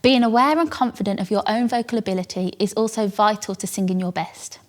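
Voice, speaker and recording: A young woman with a British accent gives a calm, earnest and encouraging scripted talk as a church worship vocal coach. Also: mid-range voice, a little fast. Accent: British English.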